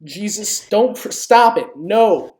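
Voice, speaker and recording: A woman's voice: mid-range voice, animated, slow, warm tone. A woman giving casual live game stream commentary, informal and sweary, jokingly pleading with viewers. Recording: clearly noisy home stream, keyboard clicks.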